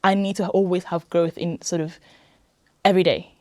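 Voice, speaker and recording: A woman's voice: fairly low pitch, fairly even intonation, normal speed, darkish tone, no echo, studio quality. A woman in her twenties from Italy, chatting casually and emphatically as a guest in an interview. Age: 20s. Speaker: podcast guest, weight loss programme member.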